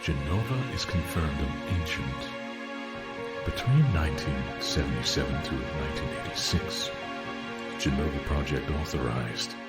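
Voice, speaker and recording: A man playing a brooding, cold and menacing elite soldier in a dramatic game voice-over, grave and deliberate. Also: a bass voice. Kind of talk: dramatic video game voice acting. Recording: echoing voice over loud music.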